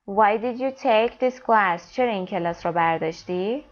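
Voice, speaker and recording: Female grammar teacher, brisk explanations, slow careful example reading, slightly muffled lo-fi audio. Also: medium pitch. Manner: explains quickly in Persian, then reads English examples slowly and clearly. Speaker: English teacher. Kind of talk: grammar lesson.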